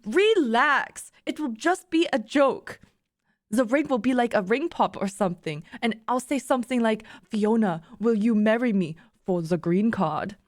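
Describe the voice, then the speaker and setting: A woman's voice: fairly high pitch, lively intonation, a little slow, no echo, clean studio sound. A woman voicing a joking, teasing young male streamer in an audio story.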